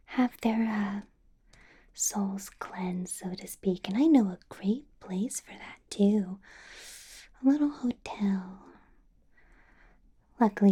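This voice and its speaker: A woman playing a doting, possessive female vampire boss in a whispered ASMR roleplay, breathy, coaxing and intimate. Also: mid-range voice, mellow. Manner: slow, coaxing, intimate whisper with murmured hums.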